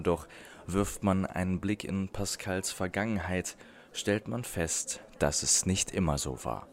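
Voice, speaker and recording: Male voice-over narrator, very low voice, unhurried and deliberate, scripted feature read. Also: slight background noise. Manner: measured, deliberate voice-over delivery. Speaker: documentary narrator.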